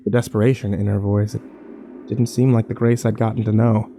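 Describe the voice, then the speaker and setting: A man's voice: fairly low pitch, little pitch movement, fairly quick, warm tone, a little hiss or hum, lightly acted. A man playing a troubled, wary survivor who narrates his ordeal in a brooding first-person horror drama.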